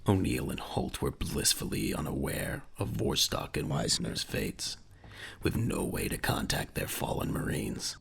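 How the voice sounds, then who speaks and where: A man with a gravelly voice narrating a dramatic audio drama in a grave, measured storytelling manner. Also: very animated. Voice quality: very deep, gravelly. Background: faint music.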